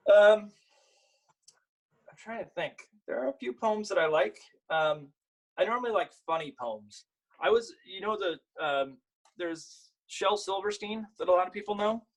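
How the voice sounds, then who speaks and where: A man with a slightly husky voice, an author and illustrator sharing picture books at a virtual storytime in an informal way. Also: high voice, low-quality recording. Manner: informal, halting when chatting. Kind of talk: virtual storytime, reading aloud and chatting about the books.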